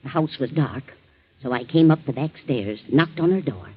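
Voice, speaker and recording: Husky, anxious servant woman. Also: a bass voice, expressive, moderate pace, full character voice. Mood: frightened, upset. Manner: hesitant, deferential. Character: anxious, loyal female servant to a wealthy household. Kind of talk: 1940s radio acting. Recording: muffled old 1940s radio recording.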